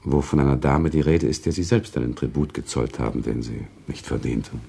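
An earnest, high-minded gentleman in a literary radio drama. His voice is low, dark and husky, and he speaks formally and precisely. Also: fairly even intonation, brisk, exaggerated voice. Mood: indignant. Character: earnest, indignant male narrator-friend, a moralising gentleman. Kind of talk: German radio play, literary dialogue.

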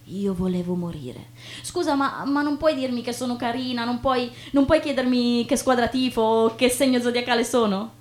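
A woman acting on stage as a chatty, naive young woman, expressive and colloquial. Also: fairly high pitch, moderate pace, few pauses, close to an everyday voice. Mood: chatty, self-mocking. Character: chatty, inquisitive, self-deprecating young woman, breezily naive. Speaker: stage actress. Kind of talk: theatrical monologue and dialogue. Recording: noticeable background noise with hum.

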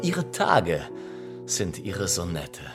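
An actor in a German radio drama playing a worldly, cynical, middle-aged aristocrat with an urbane, ironic, theatrical delivery. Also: male voice, sits low, huge pitch swings, drawn-out words, over music, heavily acted. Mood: languid, admiring. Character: worldly, cynical aristocratic older friend, witty and languid.

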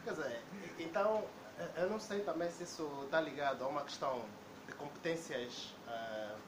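A man's voice: on the high side, fairly quick. Hesitant man telling an anecdote. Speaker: debate participant. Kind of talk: public debate talk. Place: debate hall indoors. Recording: echoey room with background noise.

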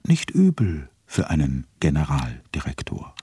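Super deep dry narrator guy for a crime radio play, swings his pitch a lot, music under it. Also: wildly swooping pitch, measured pace, dark tone, slightly rough, dry recording, exaggerated voice. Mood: wry. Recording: music playing behind the voice.

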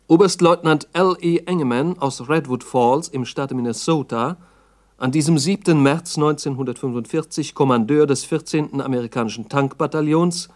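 A man's voice: on the high side, normal speed, darkish tone. A man narrating a 1960s documentary radio drama in a terse, factual reporting manner.

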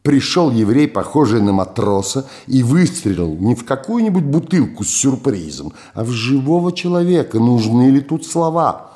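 A man's voice: medium pitch, normal speed. Older man reading prose with theatrical flair. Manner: theatrical, richly expressive. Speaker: reader, actor. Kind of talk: performed literary reading.

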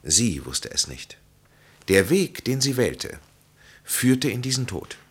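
An actor playing a grave, authoritative physician in a German radio play, speaking in measured, emphatic, formal phrases. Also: male voice, medium pitch, big pitch swings, husky, full character voice. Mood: solemn. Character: grave, authoritative physician and counsellor, solemn and consoling.

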